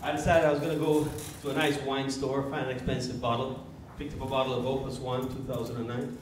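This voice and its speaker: A man's voice: mid-range voice, little pitch movement, fast talker. A man from Jamaica performing stand-up comedy, telling stories on a club stage, recorded with echo and noise from a distance. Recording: echoey, noisy club, distant mic.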